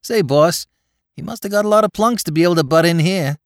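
A man voicing a cheeky, excitable young Bowery crook with a New York accent, talking in rapid slang. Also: sits high, no room sound, studio quality, voice pushed up. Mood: excited.

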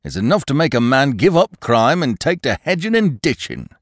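A man voicing a grumpy, harassed police inspector in an audiobook reading, with a gruff, morose delivery. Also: mid-range voice, sing-song, moderate pace, no room sound, clean studio sound, voice pushed up.

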